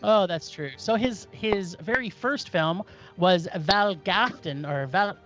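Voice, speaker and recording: A man's voice: high voice, slow pace, a bit bright, dull audio, soft background music. A man hosting a casual movie podcast, enthusiastic and stumbling over foreign film titles. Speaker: podcast host.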